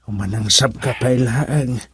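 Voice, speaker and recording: An actor playing a concerned older fatherly man in an Ilocano radio drama, unhurried and soothing. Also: medium pitch, a little hiss or hum, close to an everyday voice.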